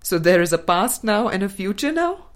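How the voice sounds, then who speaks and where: A woman reading a spiritual book aloud as scripted narration, in a deliberate, measured manner with pauses between phrases. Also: mid-range voice, very animated. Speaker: audiobook reader.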